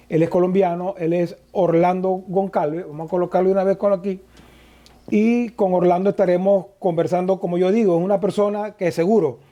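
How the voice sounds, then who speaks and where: A man co-hosting a political talk show, introducing a guest with hesitant pauses. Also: high-pitched, mellow. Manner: hesitant, many "eh" fillers. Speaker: co-host.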